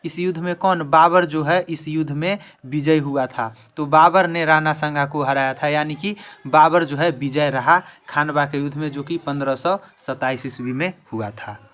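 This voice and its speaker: A man's voice: a little high, steady tone, normal speed, fairly bright tone. A man giving a quiz-style history lesson as an exam-prep teacher, restating each question and answer.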